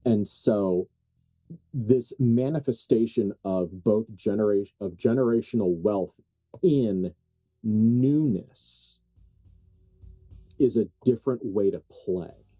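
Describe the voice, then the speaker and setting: A man narrating as game master in an improvised tabletop game, phrasing things deliberately and thoughtfully with emphatic pauses. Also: fairly low pitch, warm tone, dry recording, faint music behind.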